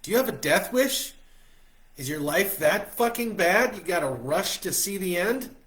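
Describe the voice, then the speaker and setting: A man voicing a gruff worried old father. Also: high voice, animated, unhurried, fairly bright tone, pitched above the performer's own voice. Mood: angry. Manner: blunt, cursing.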